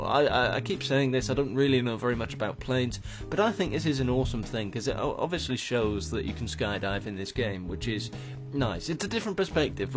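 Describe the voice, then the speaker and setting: A man hosting a gaming show, his voice slightly croaky from just waking up as he rambles through screenshot commentary with many restarts. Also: medium pitch. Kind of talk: casual commentary on game screenshots.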